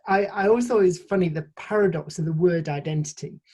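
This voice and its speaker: A woman's voice: lowish voice, quick pace, warm tone, husky. A woman from Sheffield, a podcast guest, explaining her ideas at length in a thoughtful, casual way with frequent restarts. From Sheffield, England. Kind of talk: casual conversational interview.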